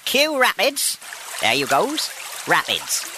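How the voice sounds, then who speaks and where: A man's voice: high-pitched, wildly swooping pitch, slow pace, noisy background, heavily acted. A man voicing an eccentric 93-year-old village woman in a comic radio sketch, with rustic broken grammar and a teasing manner.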